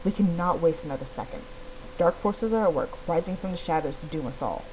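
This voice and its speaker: Woman voicing an ancient, solemn, low-voiced guardian. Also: a little mellow, close to an everyday voice. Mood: urgent, grave. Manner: formal, grave, measured. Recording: clearly noisy recording.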